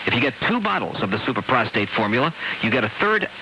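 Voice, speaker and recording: A man reading sponsor spots on the radio in a sales-pitch style. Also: big pitch swings, fast. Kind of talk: live-read radio advertising. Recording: hissy shortwave radio cassette.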